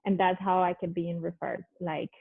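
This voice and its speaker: A woman's voice: fairly low pitch, flat delivery, moderate pace. A middle-aged woman from Colombia with a Colombian Spanish accent, a native Spanish speaker chatting casually as a guest, with many fillers and restarts. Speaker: guest, business owner. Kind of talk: casual video-call conversation. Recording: phone-like thin call audio.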